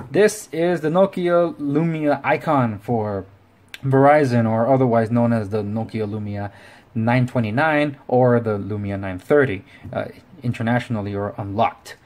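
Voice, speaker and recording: A man's voice: mid-range voice, normal speed, darkish tone. A man hosting a casual tech review, talking informally and rambling with self-correcting asides. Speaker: tech review show host. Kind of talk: casual video review talk to camera.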